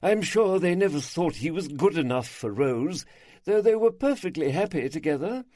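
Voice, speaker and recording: A man voicing a brisk, gossipy older aunt. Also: no room sound, close to an everyday voice. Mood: chatty. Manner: lively, raised pitch, gossipy.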